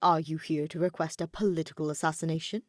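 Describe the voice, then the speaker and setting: A woman's voice: low-pitched, flat delivery, fast, dry recording, natural voice. A woman voicing a sardonic, guarded male mage and spymaster with dry, formal delivery in a fan fiction audiobook. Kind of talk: audiobook-style fan fiction character reading.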